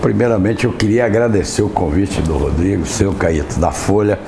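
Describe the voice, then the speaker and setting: Deep-voiced man telling his story. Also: moderate pace. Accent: Brazilian Portuguese, São Paulo interior. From Brazil. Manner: earnest, grateful, tells life story. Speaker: guest, cattle breeder. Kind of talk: interview storytelling. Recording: echoey studio with background noise.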